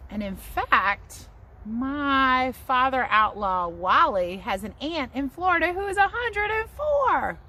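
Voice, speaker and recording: Lively woman reading aloud to children. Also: fairly high pitch. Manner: addresses young listeners directly, encouraging. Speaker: teacher reading a picture book aloud. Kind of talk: children's read-aloud with casual asides. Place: outdoors under trees near a house. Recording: outdoor background noise, passing aircraft.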